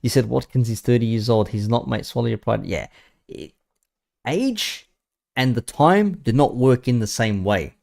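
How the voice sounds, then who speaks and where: A man's voice: medium pitch, expressive, moderate pace, creaky, darkish tone. A man, chatting casually and bluntly about football to his viewers. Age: 30s. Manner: blunt, sweary, mocking. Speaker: football streamer. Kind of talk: casual live chat.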